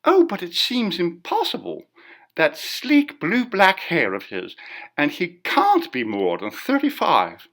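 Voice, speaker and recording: A man's voice: high-pitched, huge pitch swings, takes it slowly, vocal fry, a bit bright, voice pushed up, full character voice. A man voicing an astonished, incredulous society woman in an audiobook, exclaiming. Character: astonished society woman, rising above the murmur. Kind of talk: audiobook character dialogue.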